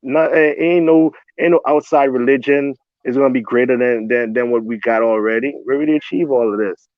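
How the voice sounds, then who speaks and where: A man's voice: mid-range voice, brisk, no room sound. A man speaking on a panel, arguing emphatically, repeating words and saying bro often. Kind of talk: heated panel debate. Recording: tinny phone-like line.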